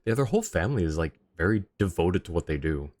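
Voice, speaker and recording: A man's voice: low voice, big pitch swings, quick pace, darkish tone. A man streaming a video game, giving casual commentary and chatting with viewers in a friendly, informal way. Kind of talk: casual chat and live commentary. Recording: game music behind the voice.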